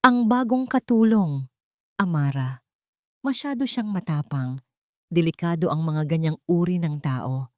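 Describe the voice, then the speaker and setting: A woman's voice: lowish voice, expressive, measured pace, long pauses, no room sound, studio quality. A woman voicing a corrupt middle-aged police chief and father in an audio drama, wary and authoritative.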